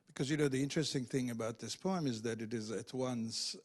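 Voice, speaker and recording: A man speaking as a literary translator at an event, explaining in a halting, searching, scholarly way without a script. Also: medium pitch, darkish tone. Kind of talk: unscripted explanation at a reading Q&A.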